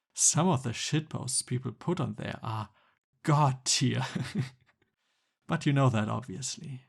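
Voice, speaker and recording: A man's voice: mid-range voice, slow, creaky, long pauses, slightly rough, heavily acted. A man playing a jealous, exasperated young Cupid best friend in a conversational boyfriend roleplay ASMR. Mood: amused, uneasy.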